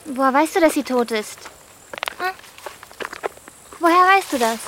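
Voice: high voice, lively intonation, measured pace, fairly bright tone, slight background noise. A young performer playing an anxious, earnest thirteen-year-old village girl, worried and insistent, in a radio drama. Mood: reproachful.

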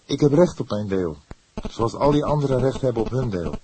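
A man voicing an indignant young male suspect in an audiobook, loud and heated. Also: mid-range voice, lively intonation, brisk, slight background noise, pitched above the performer's own voice, close to an everyday voice, poor audio. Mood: defiant.

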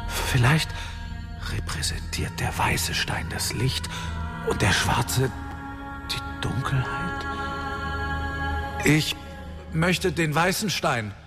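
A man playing an earnest, hesitant young hero in a fantasy radio drama, halting and pondering. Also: a little high, expressive. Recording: music behind the voice.

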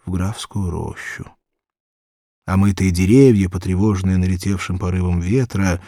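A man narrating a literary story for children as an audiobook, in an expressive, measured, dramatic way. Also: low voice, creaky, slightly rough, no room sound, studio quality.

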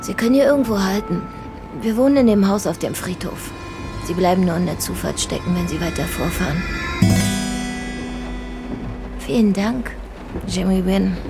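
A woman's voice: mid-range voice, brisk, over music, close to an everyday voice. An actress playing a worldly, protective, weary mother in a radio drama, frank and insistent. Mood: friendly.

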